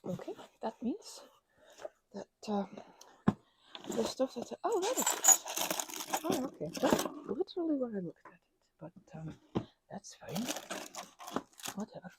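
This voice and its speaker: A woman in a rambling, soft-spoken ASMR craft chat, talking in a playful put-on Scottish accent and drifting between quiet talk and whispers. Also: fairly high pitch, very animated, unhurried, long pauses, heavy background noise.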